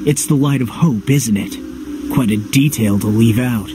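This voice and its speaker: A man playing a cynical, gruff young swordsman in a video game, speaking curtly and dryly. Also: medium pitch, normal speed, noisy background, close to an everyday voice. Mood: suspicious. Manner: curt, dry, suspicious. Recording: music behind.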